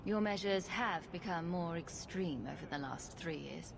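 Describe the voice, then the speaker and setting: Wry, low-voiced female rogue. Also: a little slow, gravelly, background noise. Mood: challenging. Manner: dry, sarcastic, direct. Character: wry, sarcastic adult female rogue hero. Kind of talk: fantasy video game acting.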